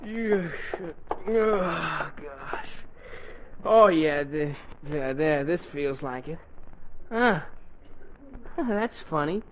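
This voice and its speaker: A dreamy, excitable teenage boy in 1940s radio comedy. His voice is high and he drags his words in a groggy way. The old broadcast has a faint layer of noise. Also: extremely slow, pauses often, highs cut off, exaggerated voice. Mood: groggy muttering. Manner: groggy, exclamatory, talking to himself.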